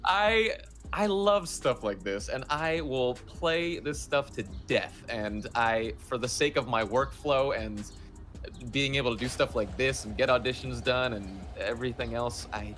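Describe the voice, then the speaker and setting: A man speaking casually as an interview guest, telling stories in an animated, self-deprecating way. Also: mid-range voice, measured pace, soft background music. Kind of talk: casual chat.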